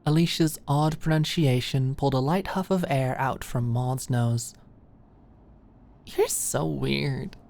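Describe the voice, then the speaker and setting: A woman's voice: extremely low pitch, moderate pace, hardly any pauses, mellow. A woman reading scripted romance fiction as an audiobook narrator in an ASMR style.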